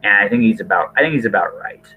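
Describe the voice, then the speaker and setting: A low-voiced man hosts a casual podcast over a thin, phone-like connection. He talks in loose, conversational runs, doubling back to repeat and reword his thoughts. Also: animated, quick pace, breathy edge, soft background music. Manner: hesitant, repeats and rephrases himself, hedging. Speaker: podcast host. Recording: thin, band-limited phone-like call audio.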